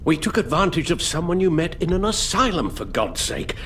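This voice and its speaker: Older butler, video game drama. Weary, earnest, formal, very expressive in pitch, guilt in every phrase. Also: male voice, a little fast, slight background noise. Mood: troubled, earnest. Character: weary elderly male butler, guilt-ridden, grave, fatherly.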